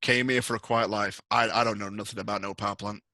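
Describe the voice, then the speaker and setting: Man acting hesitant Marine survivor, tabletop game, informal, slightly low, bright. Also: fairly even intonation, quick pace, dry recording. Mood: uneasy, defensive. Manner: hesitant, repeats himself, informal. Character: laid-back male Marine survivor, reluctant, plain-spoken.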